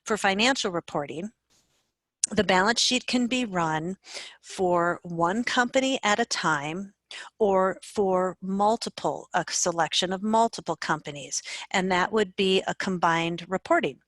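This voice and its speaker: A woman narrating an instructional screencast as a software trainer, explaining in a measured way. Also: medium pitch, no room sound.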